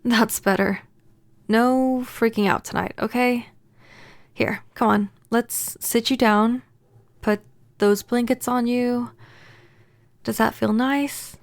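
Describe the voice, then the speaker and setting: Soft-spoken woman acting a shy vampire neighbor, higher-pitched. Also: steady tone, slow pace, gravelly, long pauses, no echo. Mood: soothing, regretful. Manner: hesitant, stammering, earnest. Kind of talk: soft-spoken ASMR audio roleplay.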